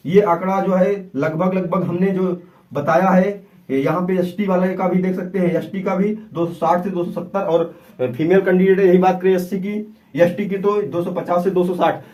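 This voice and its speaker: A man's voice: sits high, fairly even intonation, normal speed, a little mellow. A man giving an informal, instructive explanation to viewers, often repeating himself and urging them to pay attention. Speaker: exam-prep YouTube presenter.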